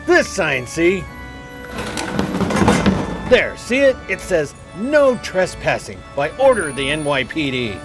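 An actor playing a gruff, bullying beat cop in a radio play, curt, slangy and threatening. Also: male voice, on the high side, very animated, takes it slowly, long pauses. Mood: irritated. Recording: music playing behind the voice.

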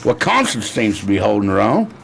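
An actor playing a crooked, gloating 1920s card sharp, taunting and slangy, in a radio crime drama. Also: male voice, on the low side, sing-song, brisk, vocal fry, a little hiss or hum, heavily acted.

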